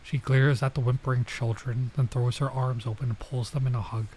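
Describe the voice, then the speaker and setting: A man's voice: mid-range voice, flat delivery, fairly quick, a little mellow. Male let's player, middle register, casual read-aloud with loose commentary and stumbles, noisy recording. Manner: casual, reacts with asides and stumbles over lines. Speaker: streamer playing and reading a visual novel. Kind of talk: let's play, reading game text aloud with casual commentary.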